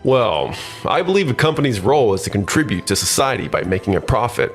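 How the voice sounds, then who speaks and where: A man's voice: fairly low pitch, big pitch swings, a little fast, soft background music. A man playing a scheming, self-important office manager with pushy corporate formality in a manga dub. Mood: smug, pushy. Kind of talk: scripted manga dub acting.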